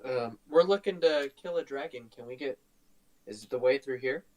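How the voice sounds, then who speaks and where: A man's voice: mid-range voice, normal speed, a little mellow. A man playing a tabletop game, chatting in a casual, playful way.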